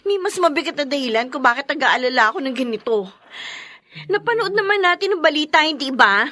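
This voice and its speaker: Very high-pitched, worried Filipino wife. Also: female voice, expressive, a little fast, sharp tone, no echo. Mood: anxious, tearful. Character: worried, soft-hearted wife and elder sister. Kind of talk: Filipino radio drama acting.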